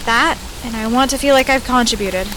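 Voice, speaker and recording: A woman's voice: a little high, brisk, background noise, natural voice. An actress playing a guarded, awkward young woman in an audio drama, casual, understated and evasive. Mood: defensive.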